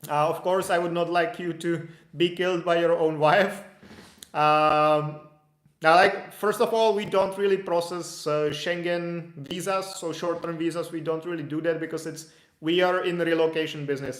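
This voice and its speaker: A man's voice: on the high side, fairly bright tone. A young man, an immigration consultant casually answering viewer questions in a live stream, thinking aloud with many restarts. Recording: echoey room.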